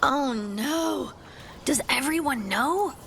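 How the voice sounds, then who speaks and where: Game role: earnest young female dwarf, highish, unhurried, apologetic, with long reverb. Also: lively intonation, creaky, fairly bright tone, slight background noise, heavily acted. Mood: embarrassed, unsure. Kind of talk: video game voice acting.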